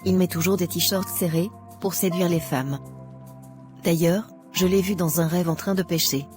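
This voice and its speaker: Woman acting as self-righteous young churchwoman, low mellow voice, preachy cartoon dialogue, impatient, music behind. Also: moderate pace, lightly acted. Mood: smug, gossipy. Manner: preachy, lecturing.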